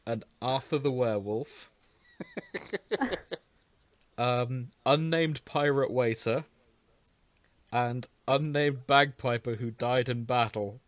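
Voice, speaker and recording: A man's voice: medium pitch, slow, long pauses, no room sound. A man co-hosting a podcast in casual comedic banter, with an irreverent, crude sense of humour. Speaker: podcast co-host.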